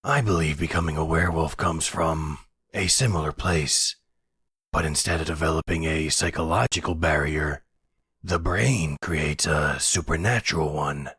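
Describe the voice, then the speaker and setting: A man voicing a calm, fatherly werewolf pack leader and researcher in a roleplay audio drama, with a measured, formal, soothing way of guiding. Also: rumbling low, breathy edge, dry recording, studio quality, full character voice. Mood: measured, explanatory.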